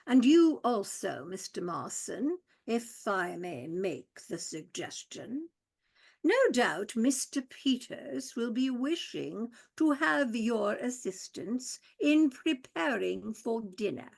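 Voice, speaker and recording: A woman playing a stately, fussy old male butler who speaks slowly and formally. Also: a little high, big pitch swings, creaky, darkish tone, full character voice. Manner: slow, formal and ponderous. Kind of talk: radio play acting.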